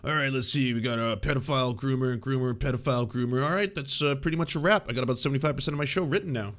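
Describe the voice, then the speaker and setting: A man's voice: mid-range voice, racing, fairly bright tone. A man hosting a live stream, reacting to clips with sarcastic, rambling political commentary. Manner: sarcastic, mocking, rambling with fillers.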